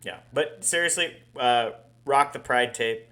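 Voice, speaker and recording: A man's voice: medium pitch, unhurried, pushed and bright. A man co-hosting a podcast in casual chat, rambling, swearing and laughing often. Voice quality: ginger-haired dog owner talk, married. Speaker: podcast co-host.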